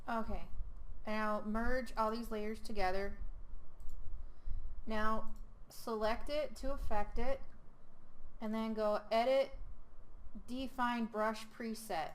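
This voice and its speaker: A woman hosting a screen-recorded software tutorial, talking casually with many ums and self-corrections, her voice a little hoarse. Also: a little high, steady tone, slow, long pauses, poor audio. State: losing her voice. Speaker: host.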